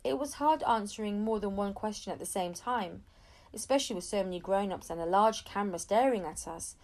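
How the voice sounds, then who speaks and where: A woman reading a children's novel aloud as an expressive storyteller. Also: medium pitch, a little fast. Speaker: audiobook reader.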